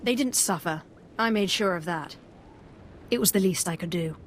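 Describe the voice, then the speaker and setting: An actress playing a composed, determined Sith apprentice in a video game, speaking in a cool, measured, concise way. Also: female voice, on the low side, a little hiss or hum, lightly acted. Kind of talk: video game drama acting. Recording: music clearly behind the voice.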